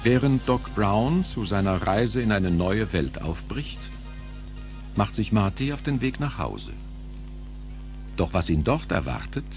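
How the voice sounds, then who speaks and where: A man narrating a scripted audio drama as the storyteller. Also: low voice. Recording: music behind narration.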